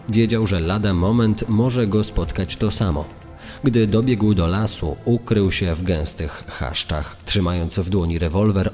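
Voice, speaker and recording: A deep-voiced man narrates a true crime script gravely. Long reverb surrounds the voice, with faint music underneath. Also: darkish tone.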